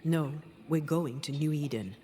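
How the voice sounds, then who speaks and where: A man playing a steady, courteous ghost hunter in a video game, speaking in a measured, earnest way. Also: a little high, slight background noise, close to an everyday voice. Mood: firm. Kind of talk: video game cutscene acting.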